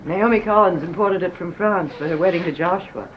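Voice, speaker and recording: An actress playing a gracious, affectionate matriarch in a 1960s television soap, on a noisy old soundtrack. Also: female voice, fairly low pitch, fairly quick, natural voice. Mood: fond, gracious.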